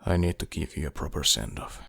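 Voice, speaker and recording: A man playing a devoted, determined lover in audiobook dialogue, speaking in a hushed, earnest, measured way. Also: rumbling low, expressive, vocal fry, husky, no room sound, studio quality, exaggerated voice. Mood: intimate, reassuring.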